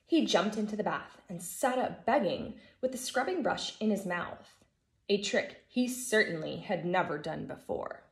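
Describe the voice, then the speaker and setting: An American woman in her thirties, a first grade teacher reading a children's storybook aloud in an expressive, emphatic way. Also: medium pitch, unhurried. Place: living room at home, indoors. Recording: echoey room.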